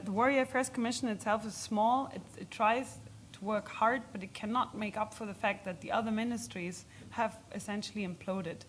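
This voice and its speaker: A woman giving halting, explanatory answers as an expert speaker in an unscripted question session at a policy event. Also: medium pitch, slight background noise.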